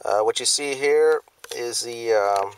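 A middle-aged man talks through a home-made demonstration in the open desert, his voice sitting at an ordinary pitch. He pauses on "uh" before nearly every phrase, plain and unhurried, picked up by the camera's own mic. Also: a little hiss or hum, poor audio. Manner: hesitant, many "uh" fillers, plain and matter-of-fact. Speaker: firearms video host. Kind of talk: casual hobby demonstration.

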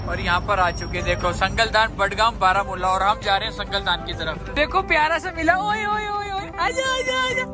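A young man filming a travel vlog outdoors on a snowy train platform and inside a train, chatting excitedly with his viewers. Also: sits high, moderate pace, hardly any pauses, a crowd in the background. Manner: excited, chatty, keeps calling viewers brother. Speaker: travel vlogger. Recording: background music mixed under the voice. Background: music.

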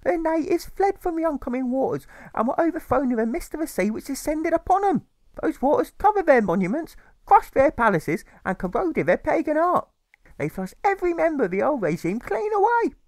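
Guy doing a high swoopy mum voice, proud n gushing at her boy, audiobook drama. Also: very animated, fairly quick, a little mellow, no echo, heavily acted. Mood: proud, impassioned. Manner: fervent, repetitive, exclamatory declaiming. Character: emotional, proud, doting mother lecturing her young son. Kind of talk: theatrical audiobook character reading.

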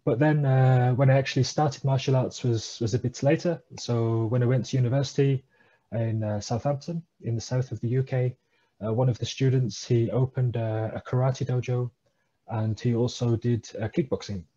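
A man's voice: mid-range voice, steady tone, moderate pace, warm tone, muffled recording. A man, a guest talking hesitantly over a headset on a video call from a small room. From England. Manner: hesitant, many uh fillers, thoughtful. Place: small room at home, indoors.

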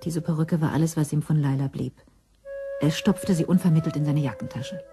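A woman's voice: deep voice, steady tone, brisk, music in the background. A woman narrator reading scripted narration for a crime radio drama.

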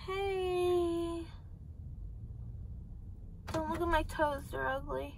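A woman's voice: high voice, normal speed, distant mic. A teenage girl co-hosting a friend's vlog with casual chat. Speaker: vlogger's friend and co-host. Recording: noisy handheld phone vlog.

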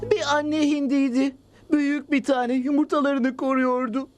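Bir kadın, çizgi film dublajında saf ve içten bir köpeği canlandırıyor. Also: female voice, high voice, level tone, a little slow, over music. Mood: earnest. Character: simple, earnest cartoon animal sidekick, a dog. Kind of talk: cartoon dub acting.

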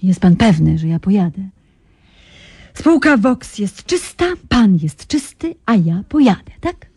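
An actress playing a persistent, self-assured investigative journalist in a radio drama, probing and ironic. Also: female voice, medium pitch, very animated, a little slow, a little mellow, slightly rough, no room sound, clean studio sound, full character voice. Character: persistent female investigative journalist, shrewd and cool. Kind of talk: 1990s radio drama acting.

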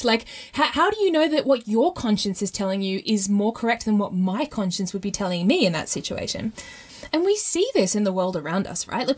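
A woman hosting a podcast, explaining things in a casual, animated storytelling way with frequent rhetorical check-ins. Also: mid-range voice. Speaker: podcast host.

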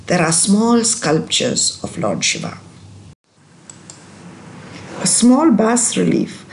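A woman narrating a travel vlog voice-over in a measured, explanatory way. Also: low voice, a little hiss or hum.

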